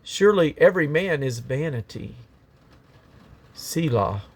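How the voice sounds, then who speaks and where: A man's voice: a little high, lively intonation, a little slow, a little mellow, breathy edge. A man reading scripture aloud in a solemn, emphatic, declamatory way, stressing his phrases. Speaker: Bible reader.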